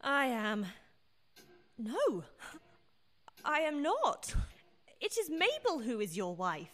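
An actress playing a proud, jealous wronged wife in a radio play, haughty and mock-sweet. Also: female voice, high voice, huge pitch swings, slow pace, fairly bright tone, full character voice. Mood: bewildered.

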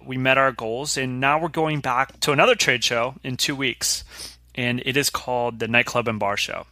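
Man in his thirties, podcast co-host; bright tone, dry close mic, easygoing storyteller. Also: medium pitch, normal speed, dry recording. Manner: relaxed storytelling, explaining step by step. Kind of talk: casual business podcast chat.

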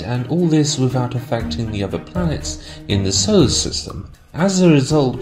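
A man with a mid-pitched voice narrates a scripted explainer. His delivery is measured and analytical, like a host laying out an argument step by step. Music plays clearly behind him. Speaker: video essayist, host. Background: background music.

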